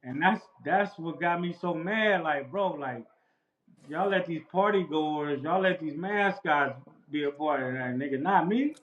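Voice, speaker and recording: Interview guest: man, slightly high voice, laid-back street talk, dull roomy recording. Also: a little slow, fairly bright tone. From Los Angeles. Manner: laid-back, repetitive street slang with frequent "bro". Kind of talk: casual storytelling. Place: outdoors near a house.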